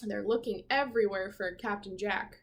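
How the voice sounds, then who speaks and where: A woman's voice: medium pitch, slight background noise. A woman teacher reading a picture book to young children, expressively, with chatty asides and questions. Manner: expressive, pausing to comment on pictures and prompt children. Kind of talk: storybook read-aloud with asides and questions to young listeners.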